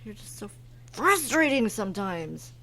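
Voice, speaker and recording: A disapproving, easily upset wife around thirty in a horror radio play. Her medium-pitched voice rises and falls sharply with frustration and reproach. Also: sing-song, measured pace, fairly bright tone, slight background noise. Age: 30s. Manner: reproachful, emotional. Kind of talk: horror audio drama dialogue.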